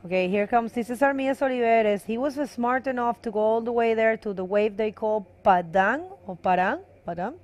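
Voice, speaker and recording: A woman's voice: a little high, measured pace, few pauses, a little mellow. A woman from Venezuela with a Venezuelan accent tinged with Canarian, giving bilingual live commentary on a bodyboarding contest and switching between English and Spanish. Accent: Venezuelan Spanish, mixed with Canarian.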